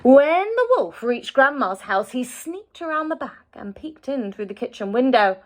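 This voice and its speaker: Expressive higher-voiced woman reading a storybook. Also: moderate pace. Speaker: teacher reading aloud to young children. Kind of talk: expressive children's storybook narration.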